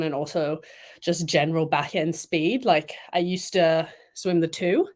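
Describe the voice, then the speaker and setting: Chatty young woman, lowish voice. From Thailand and Malaysia. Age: young adult. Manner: chatty, relaxed, many fillers and "like"s. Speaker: guest swimmer. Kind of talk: casual interview chat.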